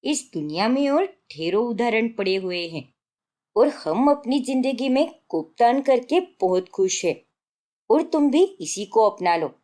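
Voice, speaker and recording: A woman voicing an elderly grandmother in a family story, patient and principled, gently admonishing as she moralizes. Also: a little high, sing-song, measured pace. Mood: gently firm.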